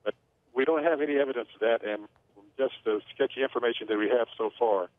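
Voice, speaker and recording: A man speaking as an aviation analyst in a news interview, measured and hesitant with many fillers. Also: medium pitch, no room sound. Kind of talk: phone-in expert interview. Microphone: phone line. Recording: tinny phone line, dry.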